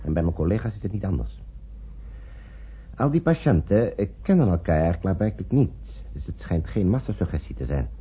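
An actor playing a measured, guarded male physician in a radio drama, speaking deliberately. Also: low-pitched, very animated, breathy edge, heavily acted. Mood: grave, confiding. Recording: muffled old radio recording.

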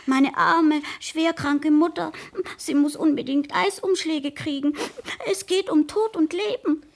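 A woman's voice: high voice, measured pace. An actress in a German radio play voicing an exuberant, cheeky, naive sixteen-year-old girl, eager and unguarded. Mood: breathless, boisterous. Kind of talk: German radio drama acting.

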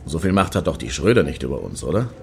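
A man's voice: a bass voice, big pitch swings, quick pace, creaky, a little mellow, full character voice. An actor playing a brash, eager male clubgoer in a comic radio play, cocky and slangy. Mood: teasing, conciliatory. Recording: crowd noise behind the voice.

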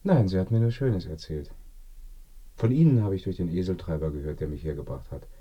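An actor playing a reserved, polite young stranger visiting a village in a radio play, with a husky voice. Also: male voice, low voice, expressive, fairly quick. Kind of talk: German radio play acting. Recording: dull, muffled sound.

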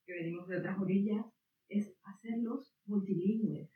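A woman's voice: mid-range voice, normal speed, slight background noise. A woman whose first language is Guaraní asks a long, meandering question at a public debate, searching for her words as she goes. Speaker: audience member asking questions. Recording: distant, echoey, phone-like audio.

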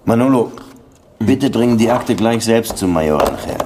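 A man's voice: on the low side, animated, normal speed, noisy background, close to an everyday voice. An actor playing a police detective in a radio drama, offhand and brooding as he hands out orders. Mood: businesslike.

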